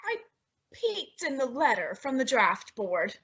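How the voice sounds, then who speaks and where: A woman as melodramatic young wife, high swooping voice, 1950s radio comedy, exaggerated delivery. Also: big pitch swings, measured pace, fairly bright tone, lo-fi sound, heavily acted. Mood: indignant. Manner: theatrical, exaggerated comic delivery. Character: excitable, indignant, melodramatic young housewife. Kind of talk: 1950s radio sitcom acting.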